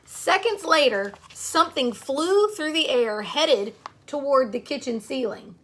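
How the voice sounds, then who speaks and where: High-voiced woman reading a storybook, unhurried. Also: lively intonation, a bit bright, slight background noise. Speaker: storyteller reading a picture book to children. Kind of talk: read-aloud children's story with asides to young listeners.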